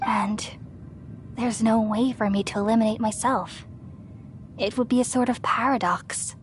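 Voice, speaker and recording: A woman voicing an ancient, motherly goddess of wisdom who speaks calmly and soothingly in a game cutscene. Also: a little high, natural voice. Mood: serene. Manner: calm, measured and soothing. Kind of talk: video game cutscene dialogue. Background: game score music.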